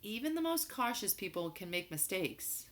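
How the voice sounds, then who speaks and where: A woman's voice: mid-range voice, big pitch swings, normal speed, voice pushed up, natural voice. A woman voicing a stern but patient mother in a storybook, firm and admonishing. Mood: patient, explaining.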